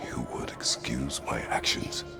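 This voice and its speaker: An actor playing a stern, brooding Spartan warrior in a cinematic game, with terse, grave speech and a very deep gravelly voice. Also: male voice, unhurried, close to an everyday voice. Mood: grim, guarded. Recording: long reverb, loud music behind.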